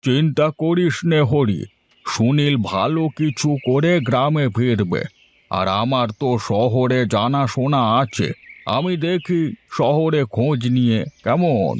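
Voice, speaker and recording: A man's voice: mid-range voice, lively intonation, unhurried, lightly acted. A man playing a sympathetic, reassuring village elder-brother figure in a cartoon drama, speaking in a familiar, consoling way. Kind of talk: Bengali cartoon drama dubbing.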